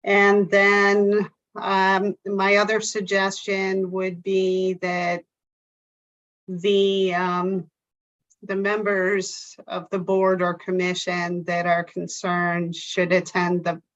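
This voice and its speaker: Slow, measured woman explaining procedure. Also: mid-range voice, monotone, a bit bright. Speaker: town staff member. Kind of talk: remote public meeting explanation. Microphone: video call. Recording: dull lo-fi video call audio.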